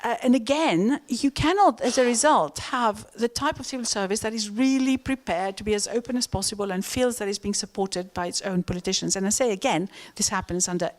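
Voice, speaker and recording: A woman's voice: mid-range voice, a little fast, hardly any pauses. A middle-aged woman with a Greek accent, speaking as an economist on a conference panel, earnest and outspoken with many fillers. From Greece.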